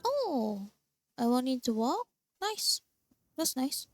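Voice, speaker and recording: A woman's voice: high voice, sing-song, takes it slowly, dry recording. A woman with a Filipino accent giving casual reaction commentary as she plays a game, sometimes mixing in Tagalog. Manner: mixes Tagalog into English. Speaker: streamer playing a game. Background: game music.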